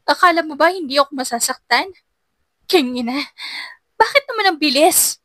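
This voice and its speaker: A betrayed, furious young woman played by a female narrator in an audio love drama. Her voice is high and bright, shouting accusations with raw anguish. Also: lively intonation, normal speed, dry recording. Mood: angry, hurt.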